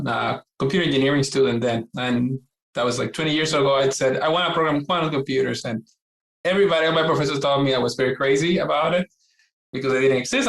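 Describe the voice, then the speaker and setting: A man in his forties with a Puerto Rican accent speaks as a podcast guest. He talks eagerly and at length, repeats words and fills his pauses, at a mid pitch. His voice carries a roomy echo. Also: gravelly. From Puerto Rico. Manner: eager and talkative, many fillers and repeated words. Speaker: guest, scientist. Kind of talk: podcast interview.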